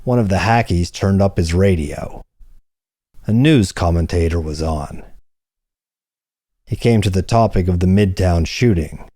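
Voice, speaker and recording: A man reading hardboiled crime fiction as an audiobook narrator, in a measured, deliberate storytelling manner. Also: low voice, dark tone, husky, no room sound, clean studio sound.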